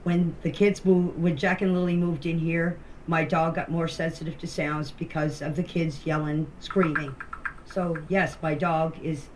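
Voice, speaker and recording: A woman recounting events informally, in a blunt, defensive and emphatic manner. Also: low-pitched. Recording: faintly noisy voice-message recording.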